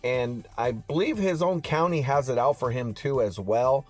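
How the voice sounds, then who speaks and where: A man's voice: mid-range voice, normal speed, darkish tone, soft background music. A man hosting car news on YouTube in a casual, slangy vlog style, talking straight to his viewers.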